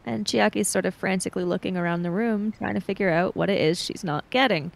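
A woman's voice: medium pitch, a little fast, vocal fry, poor audio. A woman playing a tabletop game, improvising narration of her character's actions and thinking aloud. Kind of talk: improvised third-person roleplay narration.

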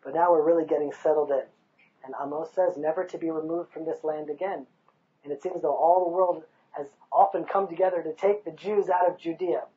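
A man's voice: a little high, fairly even intonation, a little fast, mellow, highs cut off. A man in his thirties from the United States, speaking passionately in an outdoor interview on a hilltop overlook, recorded with a lapel mic. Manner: passionate, emphatic, rhetorical.

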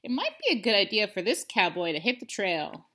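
A woman's voice: mid-range voice, very animated, fairly quick, sharp tone, husky, full character voice. A woman voicing a small male thief who dreams of being a cowboy, with folksy, sing-song delivery in a children's storybook. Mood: cautious, folksy.

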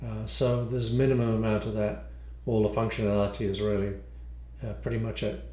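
A man's voice: on the low side, level tone, fairly quick, dark tone. A man, explaining and demonstrating a product he designed. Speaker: module designer presenting a demo. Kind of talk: product demo, explanatory narration. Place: small room at home, indoors.

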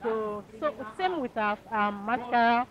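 A woman's voice: a little high, lively intonation, measured pace. A woman with a Nigerian accent presenting products as a brand ambassador in a stall interview. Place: outdoor market stall under tent. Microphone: handheld interview microphone. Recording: muffled, busy outdoor crowd noise.

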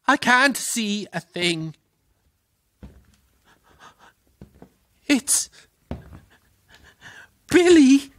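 A superstitious, gossipy Irish man who works as a pantomime dame. His delivery is theatrical and exclamatory, with big swings in pitch. The sound is dry and close, in audio drama style. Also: very animated, drawn-out words, fairly bright tone, no room sound, heavily acted. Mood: panicked. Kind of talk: naturalistic audio drama acting.

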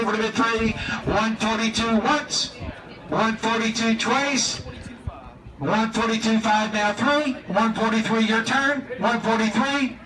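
An American man calls an auction in a high-pitched, rhythmic chant, repeating bids and prodding bidders. He is outdoors and heard from a distance, with echo on his voice and a crowd around him. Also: background noise. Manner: repetitive, rhythmic bid calling, pushing bidders. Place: outdoors on a marina dock. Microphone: picked up by a distant camera.

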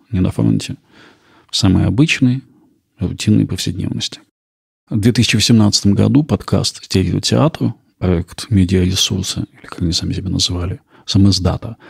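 Low gravelly man narrating, very creaky. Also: a little mellow, dry recording, studio quality. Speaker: podcast host. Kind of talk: scripted documentary narration.

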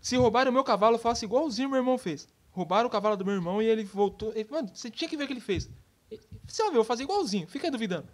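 A woman as a cocky, fast-talking young cowboy. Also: on the high side, lively intonation. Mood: boastful. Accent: Brazilian. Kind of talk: cartoon comedy sketch acting.

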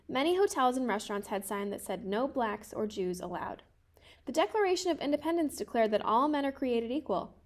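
A woman in her twenties reading a children's picture book aloud in a careful, measured way. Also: sits high. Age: 20s. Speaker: storybook reader.

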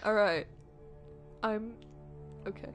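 A young woman with a Yorkshire accent chatting excitably and swearing while playing a game with a friend. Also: medium pitch, slow pace. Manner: excitable, swears, laughs often. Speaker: streamer. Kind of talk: casual gaming chat. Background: game music.

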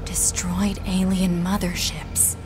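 A woman's voice: lowish voice, flat delivery, slow, music in the background. A woman playing a cool, stoic young android soldier in a video game, speaking tersely and seriously. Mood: quietly stunned.

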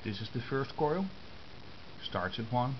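A man giving an informal step-by-step tutorial in short, halting phrases, picked up by a camera's built-in microphone. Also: medium pitch, animated. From Netherlands. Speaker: amateur radio hobbyist giving a tutorial. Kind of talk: informal step-by-step explanation of hand-drawn diagrams. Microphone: compact camera's built-in microphone. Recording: muffled camera microphone with hiss.

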